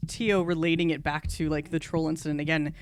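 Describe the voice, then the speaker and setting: A player in a tabletop game talks casually in a mid-pitched voice. The delivery is halting, built up phrase by phrase, with clear noise and clicks behind it. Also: flat delivery.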